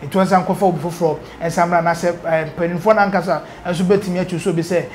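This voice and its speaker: A man's voice: sits high, steady tone, quick pace, slight background noise. A man in his thirties with a Ghanaian accent, presenting informal news commentary in a studio on a lapel mic. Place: indoor studio.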